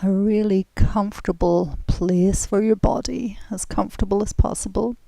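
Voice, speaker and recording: A woman leading a guided relaxation as an instructor, gentle and soothing, with soft, breathy phrase endings and long pauses. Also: medium pitch, fairly even intonation, no echo.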